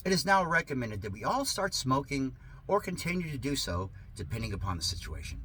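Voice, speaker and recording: A man speaking as an online host in a halting, emphatic, theatrical way, in the manner of someone sharing and reading satire aloud. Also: medium pitch, sing-song, sharp tone, slightly rough, rough recording. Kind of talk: rambling talk and dramatic sarcastic reading. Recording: noticeable background noise.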